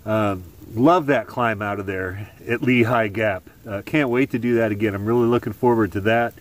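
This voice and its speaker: A middle-aged man hosting a hiking vlog, talking to the camera under a tarp outdoors in the woods in a relaxed, chatty way with lots of "uh"s. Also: lowish voice, normal speed. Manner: relaxed and chatty, many filler "uh"s. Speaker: hiking vlogger. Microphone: camera at arm's length.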